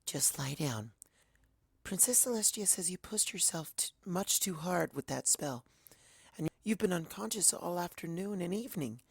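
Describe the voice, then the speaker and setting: A man voicing a timid, gentle young female pony who speaks softly and soothingly. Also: high voice, expressive, normal speed, vocal fry, slightly rough, no room sound, voice pushed up, full character voice. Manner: soft, hushed, soothing.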